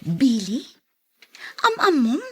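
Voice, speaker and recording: A woman's voice: a little high, wildly swooping pitch, slow, a bit bright, husky, exaggerated voice. An actress in an Ilocano radio drama playing a giddy, smitten young woman, playful and pouty. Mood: curious.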